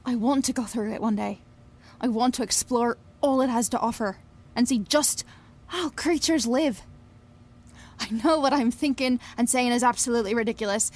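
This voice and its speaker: A young woman, played by an actress, tells her story in an audio drama. Her voice sits fairly high, and she is wry and confiding, swearing freely while sounding wistful. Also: moderate pace, slight background noise, natural voice. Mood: wry, longing. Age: young adult. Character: Billie, brash, wistful young Scottish woman obsessed with fairies. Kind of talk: audio drama narration and dialogue.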